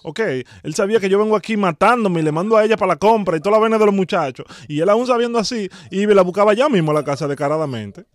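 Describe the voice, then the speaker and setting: Man, Dominican accent, high pitch, halting, plaintive, repeats words, dry close studio mic. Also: no echo. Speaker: prank-call show host. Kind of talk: prank phone call, posing as a wronged husband. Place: indoor home studio. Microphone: close studio microphone.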